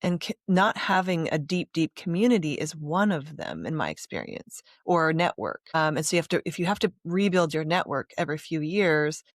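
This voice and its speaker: A woman hosting a podcast interview, reflecting at length and summing up her guest's points in a relaxed, conversational way. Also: sits low, moderate pace, hardly any pauses, dry recording, clean studio sound. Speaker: podcast host.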